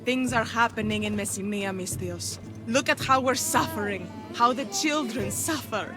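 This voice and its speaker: A woman's voice: a little high, normal speed, over music, close to an everyday voice. An actress playing a defiant, streetwise peasant mother in a video game, feisty and earnest. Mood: bitter, pleading. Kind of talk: video game cutscene acting.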